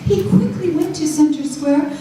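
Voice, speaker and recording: A woman telling children a rhyming fairytale in a dramatic, theatrical, expressive style. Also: high voice, moderate pace, slight background noise. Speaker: children's storyteller. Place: school show before children. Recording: echoey hall, distant mic, faint music.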